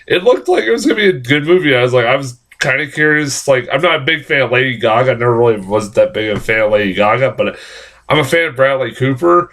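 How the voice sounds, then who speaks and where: A young man with a hoarse, nasal voice from a cold, casually rambling through a film review with lots of fillers and swearing. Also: medium pitch, expressive, fast, fairly bright tone. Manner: rambling, frequent fillers and profanity.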